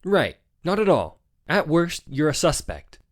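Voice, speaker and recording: A woman's voice: deep voice, very animated, measured pace, background noise. A woman playing an earnest, dry-witted young male rookie lawyer in a video game fan dub. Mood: earnest, probing. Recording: music behind the voice. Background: music.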